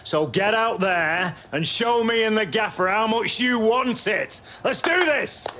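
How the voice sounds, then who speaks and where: An actor playing a brisk, demanding football coach in a video game cutscene, emphatic and rallying. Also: male voice, sits high, animated, bright, forceful tone, heavily acted.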